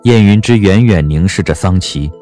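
A man narrating an audio drama in an expressive storytelling manner. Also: low-pitched, moderate pace, soft background music.

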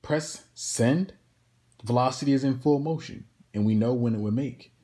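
A man delivering a spoken-word poetry monologue in a dramatic, deliberate manner with pauses between phrases. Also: mid-range voice, mellow. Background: plucked guitar music.

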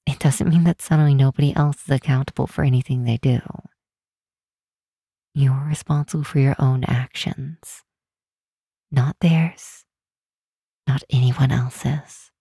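A woman playing a regal, protective demon queen in a comforting ASMR roleplay, speaking softly and soothingly in a deliberate, hushed way. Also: rumbling low, no echo, studio quality, heavily acted. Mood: gentle, consoling. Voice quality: soft, breathy, hushed.

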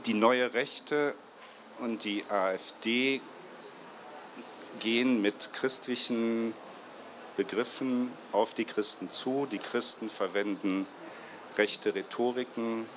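Ein Mann in den Fünfzigern moderiert ein Buchgespräch auf einer Messebühne vor Publikum, mit Handmikrofon, stockend und mit vielen Füllwörtern. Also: male voice, medium pitch, fairly even intonation, fairly bright tone, slight background noise. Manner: halting, many fillers and restarts. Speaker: moderator, publisher's marketing head. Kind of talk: moderated book talk. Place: trade fair stage before a seated audience. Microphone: handheld mic.

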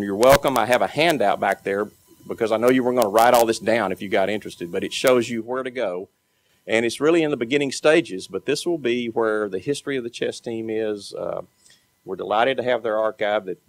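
A man in his fifties speaks into a podium microphone in a large banquet hall. His voice sits low with a gravelly edge, and he stumbles through with frequent fillers and restarts. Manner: halting, many uh fillers and restarts. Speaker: library program manager. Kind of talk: speech at an award event.

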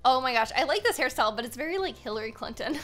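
A young American woman giving casual gaming commentary as host, chatty and expressive, given to exclaiming. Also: sits high, quick pace. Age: young adult.

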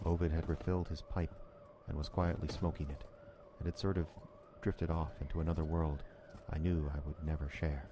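An actor playing a sober, uneasy narrator in an audio drama, telling events in the first person in a measured, literary way. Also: male voice, very deep voice, level tone, background noise. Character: sober male narrator, uneasy listener to Ovid's tale.

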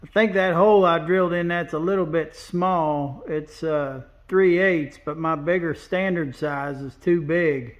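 A man's voice: a little high, measured pace, hardly any pauses, muffled recording. A man welding and fabricating, walking through his work in a shop in a plain-spoken, casual way as he points things out. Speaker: welder and fabricator. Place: large indoor metal fabrication shop.

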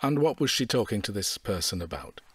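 An actor playing a brisk, sceptical detective inspector with a husky voice, questioning in an audio drama. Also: male voice, fairly low pitch, big pitch swings, fairly bright tone, dry recording, clean studio sound, full character voice. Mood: probing. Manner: probing, dryly sceptical.

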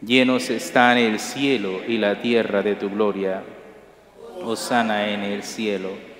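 A middle-aged priest celebrating Mass at the altar, reciting liturgical prayers in a measured, solemn way. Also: male voice, on the low side, level tone, fairly bright tone. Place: large church sanctuary, at the altar. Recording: echoey church with long reverb.

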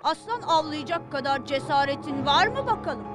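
A woman's voice: high voice, animated, normal speed. A woman voicing a competitive, show-off young boy in a cartoon. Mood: taunting. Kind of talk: dubbed cartoon acting. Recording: loud music under the voice. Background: loud music.

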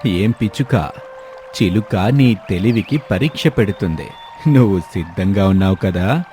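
A man's voice: lowish voice, lively intonation, a little slow, mellow, faint music behind, voice pushed down. Man voicing a commanding, stern eagle leader. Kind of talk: children's cartoon story voice acting.

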